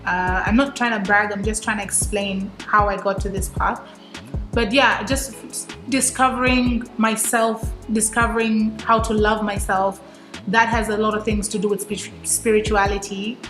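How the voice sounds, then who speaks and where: A woman from Tanzania speaks as a guest in a talkative, animated way, pausing now and then to find a word. Her voice rings in an echoey room with music underneath. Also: medium pitch. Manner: animated and talkative, searches for words. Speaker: guest, HR manager. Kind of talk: casual interview.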